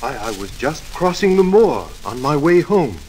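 A man's voice: fairly high pitch, big pitch swings, moderate pace, darkish tone, slight background noise, rough recording. An actor playing a shaken, agitated country doctor, telling his story dramatically in 1940s radio drama style. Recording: old radio recording with music.

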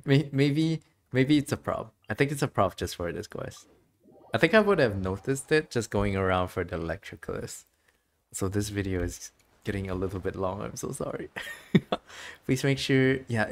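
A streamer giving casual, chatty commentary while playing a game, musing out loud in an informal way. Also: medium pitch. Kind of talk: casual game livestream commentary, reading dialogue aloud.

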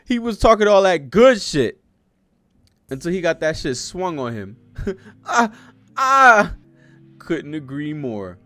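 Young adult American male streamer, very lively intonation, casual slangy profane commentary, close desk mic, music underneath. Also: fairly high pitch, slow pace, pauses often, no echo. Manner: animated, slangy, profane reactions. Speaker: streamer reacting to a manga. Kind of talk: casual live read-along commentary. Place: home studio room with streaming setup. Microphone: desk microphone close by.

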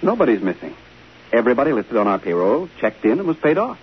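A man's voice: mid-range voice, sing-song, fast talker, natural voice. An actor playing a flustered male assistant film director in a 1950s radio drama. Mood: uneasy. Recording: hissy, muffled 1950 radio broadcast.

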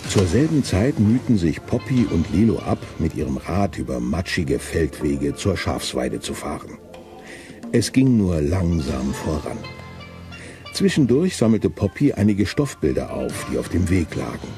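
A man's voice: sits low, very animated, measured pace, over music. A man narrating a children's radio play in a scripted storytelling style.

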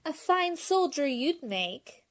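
Woman as a petulant, high-pitched little sister. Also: big pitch swings, slow pace, full character voice. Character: vain, petulant youngest sister, a young girl. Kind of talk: amateur radio drama acting.

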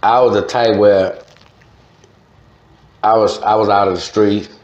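Older southern guy from louisiana talk, real low voice, chatting slow and folksy, echoey room. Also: fairly even intonation, a little mellow. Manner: unhurried, folksy, with frequent "man" and "you know". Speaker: interview guest, music promoter. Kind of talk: casual reminiscing interview. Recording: roomy, dull lo-fi sound.

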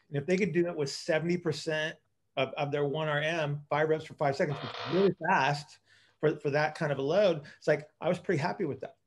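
A man coaching on a group video call, explaining casually and haltingly, often repeating his phrases. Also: a little high, creaky.

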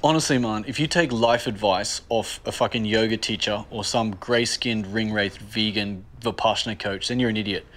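A man's voice: lowish voice, normal speed, hardly any pauses, fairly bright tone. An Australian man in his thirties in a comedy sketch, ranting bluntly and sarcastically with lots of swearing.

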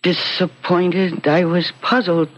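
A woman's voice: fairly low pitch, little pitch movement. Hesitant, wistful woman, dull radio sound. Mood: wistful, puzzled. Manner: hesitant, halting. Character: wistful, puzzled woman drawn to childhood room. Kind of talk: old-time radio drama acting. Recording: muffled old radio broadcast.

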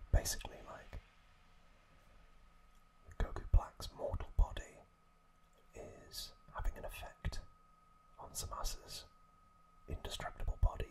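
A man hosting ASMR, narrating in a hushed whisper with drawn-out phrasing, close to the microphone. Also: rough recording. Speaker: ASMR host.

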